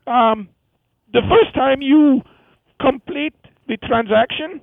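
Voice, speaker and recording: A man doing a high rasping Indian woman. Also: dry recording, heavily acted. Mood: rambling. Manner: halting, broken English with many fillers. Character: woman amputee from India with transplanted hands. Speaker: phone-in comedy caller. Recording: tinny phone line.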